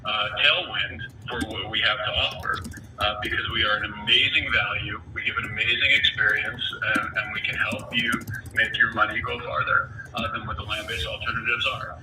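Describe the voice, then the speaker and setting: A man with a low voice speaks as a company executive on an earnings call. He sounds distant in an echoey space, under loud noise. His delivery halts and doubles back on words. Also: fairly even intonation, normal speed. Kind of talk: answering questions on an earnings call. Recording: noisy, echoey, distant conference call audio.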